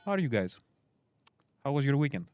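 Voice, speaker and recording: A man's voice: medium pitch, very animated, brisk, darkish tone, clean tone, faint music behind. A Greek man in his twenties, chatting casually and hesitantly as a community stream host while playing. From Greece. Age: 20s. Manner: rambling, filler-heavy, hesitant. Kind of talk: casual chat while gaming.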